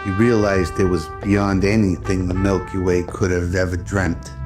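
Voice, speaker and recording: A man giving scripted dramatic narration in the style of an old radio drama. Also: low-pitched. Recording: loud music under the voice. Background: background music.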